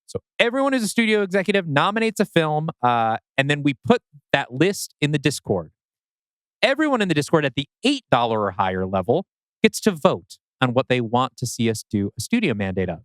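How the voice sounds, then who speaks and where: A man's voice: a little high, fairly bright tone, clean tone, dry recording. A man co-hosting a podcast, casually explaining things to listeners. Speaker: podcast co-host.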